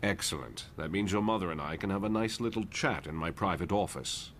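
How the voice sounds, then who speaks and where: A man's voice: low-pitched, fairly quick, a bit bright, slight background noise. A man voicing a polite, composed professor and school head in a motion comic, formal in manner. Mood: courteous. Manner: courteous, formal.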